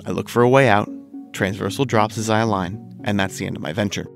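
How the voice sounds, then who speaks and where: A low-voiced man with a gravelly edge, still getting over a cold, talks through a game fight in a steady, analytical voice-over. Clear music plays under him the whole time. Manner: measured, analytical. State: recovering from a cold. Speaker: gaming video host. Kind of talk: scripted game commentary.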